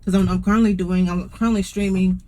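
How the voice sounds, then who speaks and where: A man's voice: high voice, fairly even intonation, quick pace, darkish tone, slight background noise. A man giving casual live commentary as he streams a video game. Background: faint game music.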